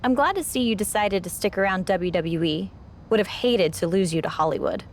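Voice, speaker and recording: A woman playing a seasoned wrestling champion in a video game, speaking gravely and cautioning like a keeper of dangerous secrets. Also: mid-range voice, brisk, a little hiss or hum, natural voice. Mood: composed. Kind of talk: cinematic game dialogue.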